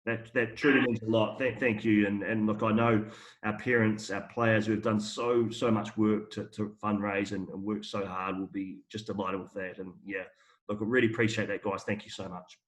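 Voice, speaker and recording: A man with a low, flat voice on a video call, speaking in a friendly, thankful way. He often repeats a word before carrying on with the sentence. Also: normal speed. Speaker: school rugby tour representative.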